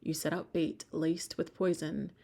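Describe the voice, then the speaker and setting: A woman playing a cold, calculating schemer in an ASMR audio drama, speaking in a measured, menacing way. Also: low voice, flat delivery, dark tone. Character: cold, calculating female schemer hunting a secret group.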